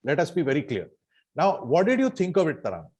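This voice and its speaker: A man's voice: a little high, huge pitch swings, fast, a little mellow, slightly rough. A man with an Indian English accent co-hosts a casual review podcast, chatting in an emphatic way. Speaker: podcast co-host.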